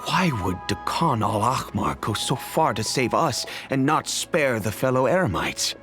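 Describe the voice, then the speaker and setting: A low-pitched, grave older patriarch in a video game, speaking slowly and solemnly like someone recounting old family history. Faint music sits under his voice. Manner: measured, solemn storytelling.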